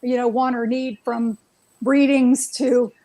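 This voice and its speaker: Female guest with a high voice; upbeat and chatty. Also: steady tone, slow pace, warm tone, poor audio. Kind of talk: casual interview chat.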